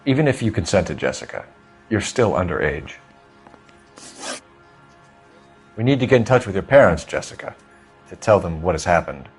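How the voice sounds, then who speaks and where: Low-voiced, stern police detective. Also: male voice, big pitch swings, husky, soft background music, heavily acted. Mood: serious. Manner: measured, formal, pressing. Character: earnest, probing male police detective, stern but controlled. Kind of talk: video game acting.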